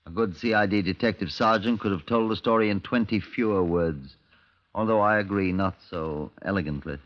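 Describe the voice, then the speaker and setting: An actor playing a dry, irritable British chief inspector in a 1950s radio drama, sardonic and curt. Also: male voice, on the low side, normal speed, natural voice. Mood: dry, wry. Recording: dull lo-fi old radio recording.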